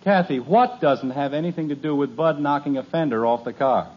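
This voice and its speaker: A man's voice: fairly high pitch, fairly quick, a little hiss or hum, close to an everyday voice. An actor playing a patient, dry-witted middle-aged father in a 1950s radio comedy, with weary sarcasm. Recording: dull old radio transcription.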